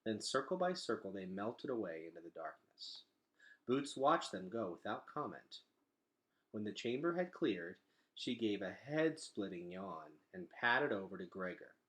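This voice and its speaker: Low-voiced man, very animated storyteller. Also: a little slow. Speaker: read-aloud storyteller. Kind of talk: expressive narration of a children's novel.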